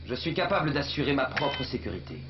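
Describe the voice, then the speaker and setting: A man's voice: mid-range voice, very animated, a little fast, noisy background, lightly acted. A man voicing a proud, stubborn scientist in a French cartoon dub, speaking in a firm, earnest, defiant way. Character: proud, stubborn male scientist, protective brother. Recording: dull lo-fi TV audio under loud music.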